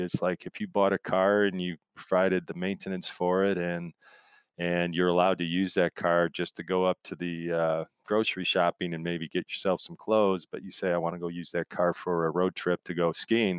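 A man's voice: low voice, clear, resonant tone, no room sound. A man speaking as an expert guest in an interview, explaining things conversationally in a rambling way with analogies. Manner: rambling, explains through analogies and imagined quotes.

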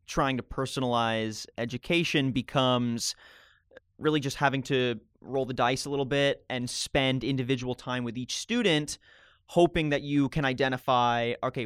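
A man's voice: mid-range voice, fairly bright tone, smooth, no room sound, studio quality. A man hosting a podcast interview, chatting in a friendly, casual way with fillers. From raised speaking Spanish at home, mother from Colombia. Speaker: podcast host.

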